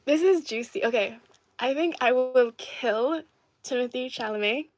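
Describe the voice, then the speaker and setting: A woman's voice: sits high, measured pace, darkish tone, dry recording. Giggly young woman chatting on a livestream. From Ireland. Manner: chatty and giggly, reading comments aloud and answering. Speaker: livestream host reading viewer comments. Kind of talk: casual livestream chat. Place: indoor home setting in Adelaide.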